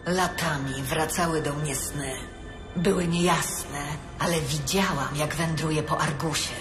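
An actress playing a grave, determined elf heroine in an audio drama, speaking in an earnest, serious way. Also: female voice, deep voice, fairly even intonation, measured pace, reverberant, over music.

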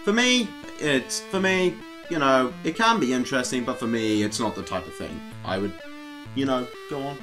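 Young guy hosting a casual video, lively up and down voice, music playing along. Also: mid-range voice, normal speed. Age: young adult. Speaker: video show host. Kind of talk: casual chat.

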